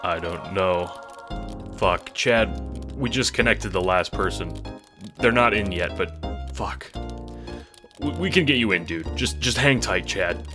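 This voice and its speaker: A man's voice: deep voice, a little slow, pauses often, music in the background. A man playing a panicked, desperate young guy who stammers, swears and pleads in an audio drama. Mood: anxious urgency.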